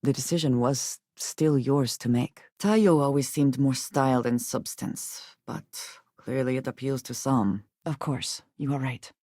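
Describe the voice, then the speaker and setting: A woman voicing a guarded, earnest and loyal space companion in a video game, speaking formally and precisely in measured phrases. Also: extremely low pitch, dark tone, dry recording, clean studio sound. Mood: reserved, assessing, then solemn, resolute. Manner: formal, measured, precise diction without contractions.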